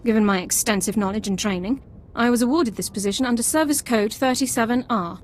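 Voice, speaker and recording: A woman's voice: fairly high pitch, quick pace, slight background noise, close to an everyday voice. A woman playing a strict by-the-book sergeant. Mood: formal. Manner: clipped, formal, precise. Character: strict by-the-book female sergeant, crisp and formal. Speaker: squad sergeant. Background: music.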